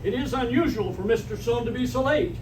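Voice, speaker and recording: A man's voice: very high-pitched, brisk. A man playing a pompous, blustering, hard-of-hearing school principal in an old-time radio comedy on stage. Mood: blustery. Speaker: amateur stage actor. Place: live stage performance in a hall. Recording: echoey hall, distant mic, faint noise.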